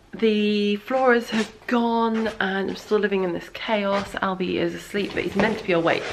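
A chatty young woman films her home renovation on a phone, all ums and cheerful asides. Her voice rings off the bare walls of an empty kitchen, with a faint hiss underneath. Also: mid-range voice. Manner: chatty, upbeat, many ums, chats to her toddler in between. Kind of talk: casual vlog to camera. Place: half-renovated house, echoey bare kitchen. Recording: echoey room, faint noise.

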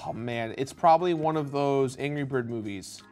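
A man's voice: medium pitch, normal speed, a little mellow. A man in his thirties chatting casually as a quiz contestant, explaining his answers as he goes. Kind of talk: casual panel chat. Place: indoor studio set. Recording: music bed behind the voice.

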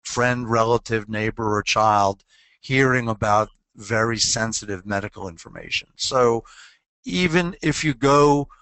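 A man's voice: lowish voice, a little slow, fairly bright tone, low-quality recording. A man presenting a webinar on legal compliance, answering a question earnestly and posing rhetorical questions.

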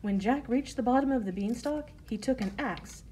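Mellow woman narrating a children's story. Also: fairly high pitch, moderate pace, a little hiss or hum.

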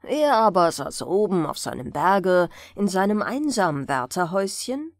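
A woman reading fairy tales as an expressive bedtime audiobook narrator. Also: fairly low pitch, normal speed, dry recording.

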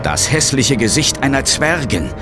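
A man's voice: mid-range voice, big pitch swings, normal speed, close to an everyday voice. An actor playing an intense ghost hunter who narrates his own peril in a horror audio drama. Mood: suspenseful. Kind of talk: scripted first-person horror narration. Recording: dramatic music underneath.

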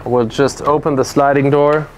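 A man's voice: medium pitch, steady tone, normal speed, noisy background. A man in his thirties giving a methodical walkthrough of a yacht, recorded on board with a lapel mic. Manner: explanatory, methodical, lists features. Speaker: boat presenter. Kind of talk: product walkthrough. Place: aboard a motor yacht on a lake, on deck and in cabins.

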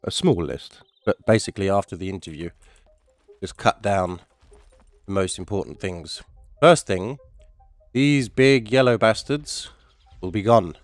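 Stuffy-nosed man, low voice. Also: a little slow, dry recording. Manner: chatty, rambling, mild swearing. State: stuffy, nasal from a cold. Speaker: gaming YouTuber. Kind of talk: casual gameplay commentary.

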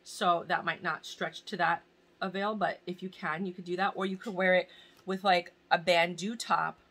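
A bright, somewhat low-pitched woman in her forties talks to a desk camera in a small, cluttered home room. She rambles and restarts, gushing over each item, and she is in pain. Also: steady tone, moderate pace. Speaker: fashion vlogger hosting a giveaway. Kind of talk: casual chat to camera. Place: small home room crowded with bags and boxes, indoors. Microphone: camera at desk distance.

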